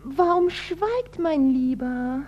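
A gushing, fond woman in a radio comedy. Her high voice moves widely, cooing and full of theatrical concern. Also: animated, extremely slow, darkish tone, lo-fi sound, exaggerated voice. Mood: dramatic concern. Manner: theatrical, cooing, dramatically sympathetic. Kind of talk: Dutch radio comedy drama acting.

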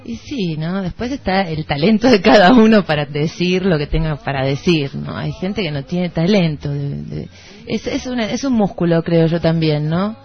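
A woman's voice: on the low side, lively intonation, normal speed. Lo-fi radio voice of an Argentine woman. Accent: Argentine Rioplatense. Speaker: radio co-host. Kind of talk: conversational literary radio talk. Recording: dull lo-fi radio recording. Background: background music.

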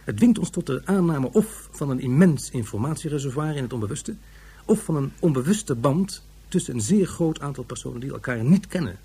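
A learned, earnest man of science in a radio play, formal and long-winded. His voice is very husky and rather mellow, and he speaks fast. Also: mid-range voice, expressive. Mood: detached, explanatory. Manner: formal, wordy. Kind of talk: radio drama acting.

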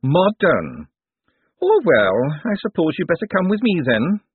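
A man's voice: on the high side, wildly swooping pitch, a little slow, husky, dry recording, pitched above the performer's own voice, heavily acted. A man voicing a fussy, weary elderly male wizard scholar who rambles and grumbles plaintively. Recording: tinny phone-like lo-fi.

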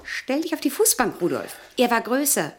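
An actress playing a domineering, sensual woman obsessed with a lost lover in a radio play, commanding and often hushed. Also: fairly high pitch, big pitch swings, normal speed, slight background noise. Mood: commanding, insistent. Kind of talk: German radio play acting.

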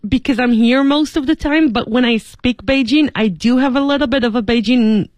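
A woman's voice: on the high side, normal speed, darkish tone, dry recording. A woman hosting a radio talk show, chatting casually and steering the talk with questions. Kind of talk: casual studio chat.

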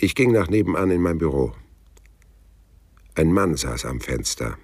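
A hard-boiled private eye in a crime radio play. His voice is extremely low and husky, with a lively, swinging pitch. He is cynical, stubborn and world-weary, speaking in dry, sardonic lines. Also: measured pace, heavily acted. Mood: brooding narration. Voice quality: husky, rough. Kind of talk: radio crime drama with first-person narration.

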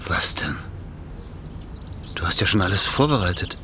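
Low-voiced, wary petty thief. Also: male voice, sing-song, normal speed, bright, forceful tone, a little hiss or hum, exaggerated voice. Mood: astonished, wavering. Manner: colloquial, hesitant, muttering exclamations of doubt. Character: wary, plain-spoken petty thief, reluctant male accomplice. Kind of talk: naturalistic German radio play dialogue.

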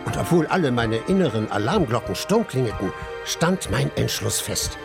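A man's voice: mid-range voice, sing-song, moderate pace. An actor playing an eager, adventurous young male reporter who narrates his own story in a radio drama. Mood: excited. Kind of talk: scripted first-person radio drama narration. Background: clearly audible music.

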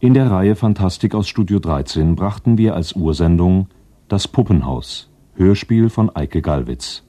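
A man's voice: low-pitched, lively intonation, measured pace, mellow. A man reading formal scripted closing credits as a radio announcer.

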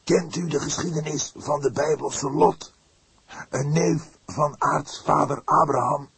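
A man's voice: a little high, fairly even intonation, measured pace, vocal fry, crowd noise, voice pushed up. A man voicing a fervent, pious religious father in an audiobook, speaking in a solemn, declamatory way. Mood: fiery, solemn. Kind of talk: audiobook character acting.

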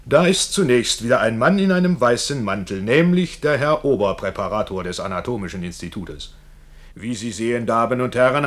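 Radio play narrator: male actor as exhibit guide, mid-pitched, theatrical, mock-polite, ironic. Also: lightly acted. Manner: ceremonious, ironic. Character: mock-courteous showman guide presenting tableaux.

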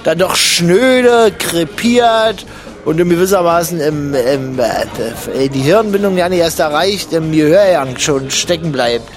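A high male voice with music behind it, an actor as a wry, philosophizing drinking companion in a radio comedy. He rambles and teases ironically. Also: unhurried, lightly acted. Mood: mocking. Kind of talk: comic radio play dialogue.

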